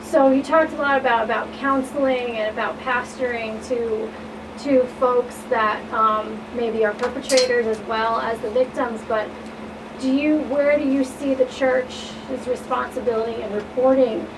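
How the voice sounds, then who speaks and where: A woman's voice: on the high side, steady tone, unhurried. A woman panelist asking questions in a casual, conversational way. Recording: distant mic, clearly noisy room.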